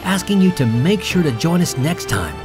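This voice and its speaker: Male announcer for family audio drama, slightly high and very lively, scripted read over music. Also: moderate pace. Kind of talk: scripted narration, radio drama credits read.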